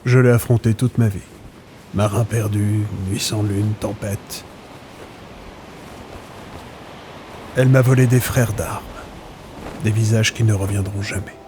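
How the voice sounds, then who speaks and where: Man playing a deep-voiced, weary old sailor. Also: fairly even intonation, normal speed, a little mellow, a little hiss or hum, natural voice. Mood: mournful. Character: old storm-worn sailor, melancholy and haunted by lost comrades. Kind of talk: French audio drama acting.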